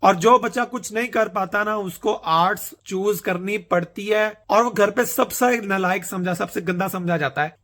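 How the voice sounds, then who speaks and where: Young Pakistani man, high-pitched, Urdu accent; earnest, rambling vlog chat. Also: fairly bright tone. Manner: earnest, rambling, self-mocking. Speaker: vlogger. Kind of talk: casual monologue mixing Urdu and English.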